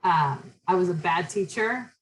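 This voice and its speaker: Loud, direct woman lecturing. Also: fairly low pitch, lively intonation, measured pace, a little hiss or hum, rough recording. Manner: direct, chatty, lots of fillers. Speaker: professor. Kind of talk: casual classroom lecture.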